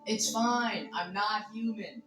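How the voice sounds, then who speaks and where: A young actor voicing a bold, confident, excitable older sea monster boy in animated film dialogue. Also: mid-range voice, slow pace, far from the mic, close to an everyday voice. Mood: reassuring. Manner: brash, confident. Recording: echoey clip over music, off-mic.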